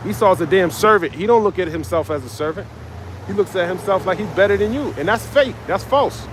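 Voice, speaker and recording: A man's voice: high voice, fairly quick, noisy background. A man preaching on a live stream, reading scripture aloud and expounding it in a confrontational, profane way. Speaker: street preacher.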